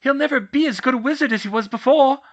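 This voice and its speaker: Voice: medium pitch, sing-song, moderate pace, fairly bright tone, dull audio, voice pushed up. A narrator voicing a shy, upset older schoolgirl witch who talks in a hesitant, stammering way.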